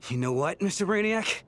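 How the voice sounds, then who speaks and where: An actor playing a gruff, indignant man in a video game, a confused lost ghost, with a gravelly voice. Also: high-pitched, a bit bright, a little hiss or hum. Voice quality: creaky, gravelly. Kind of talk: scripted game dialogue.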